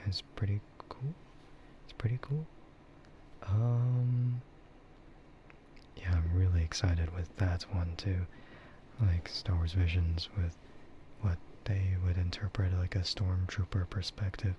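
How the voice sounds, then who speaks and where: Low, soft-spoken man rambling slowly, half whispered. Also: steady tone. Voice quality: soft, often dropping into a whisper. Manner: rambling, hesitant, easygoing. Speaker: VTuber streamer. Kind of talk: soft ASMR casual chat. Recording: muffled lo-fi audio with clear noise.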